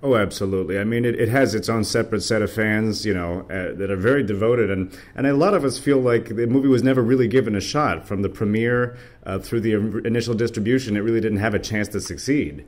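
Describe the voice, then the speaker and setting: An American man conducting a casual interview, effusive like a fan, with hesitant, halting phrasing. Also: on the low side, darkish tone.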